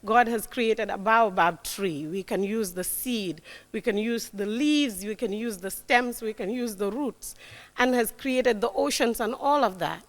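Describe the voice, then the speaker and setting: Fairly high-voiced woman in her forties. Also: a little slow. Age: 40s. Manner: warm, emphatic, repeats key words, frequent "right?". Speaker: guest speaker. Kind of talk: motivational talk to young audience. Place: lecture hall. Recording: long hall reverb.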